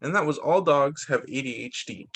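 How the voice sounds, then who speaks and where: A male teacher reading picture books aloud to children, expressive and dropping in short spoken asides of his own. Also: a little high, normal speed, breathy edge. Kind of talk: read-aloud story time for children.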